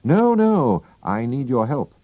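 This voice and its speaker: A man reading a storybook aloud and voicing a sharp, calm male detective who talks in a brisk, sure-of-himself way. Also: medium pitch, no echo, lo-fi sound, voice pushed up. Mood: assured.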